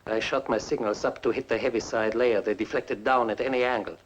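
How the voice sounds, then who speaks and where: A man's voice: mid-range voice, steady tone, lightly acted. Man acting a sardonic, gloating villain, muffled old film. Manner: sardonic, theatrical, drawn-out taunting. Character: bitter, gloating fugitive inventor, a mocking villain. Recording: muffled, noisy 1950s film soundtrack.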